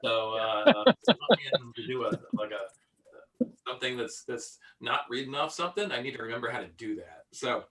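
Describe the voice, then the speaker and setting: A man, a voice actor, chatting casually in a halting, rambling way. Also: mid-range voice, very animated, a bit bright, distant mic. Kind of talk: casual chat.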